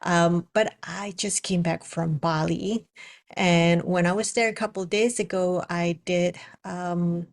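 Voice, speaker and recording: A woman with an Indonesian accent, a spiritual coach and psychic reader, talking casually to her viewers with many pauses and fillers. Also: on the low side, fairly even intonation. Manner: hesitant, many fillers and restarts. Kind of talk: casual spoken video message.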